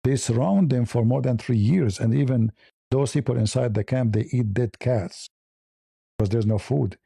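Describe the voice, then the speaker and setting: A man's voice: mid-range voice, normal speed, gravelly, mellow, slightly rough, no room sound, studio quality. A man hosting a live news commentary stream, blunt and opinionated, rambling with hesitant fillers and speaking English as a second language. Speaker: host.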